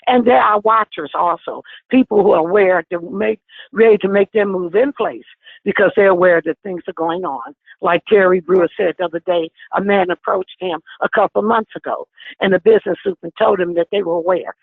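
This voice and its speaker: Lady in her 60s pressing her point hard, gravelly voice, thin phone line. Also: on the low side, brisk, dry recording. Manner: forceful, insistent, talks over others. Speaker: caller on an activist conference call. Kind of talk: impassioned phone call.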